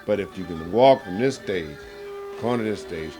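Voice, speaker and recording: A man's voice: low-pitched, lively intonation, normal speed. A man who sings and plays blues guitar, talking in an interview in an emphatic, colloquial, demonstrative way. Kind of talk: casual interview.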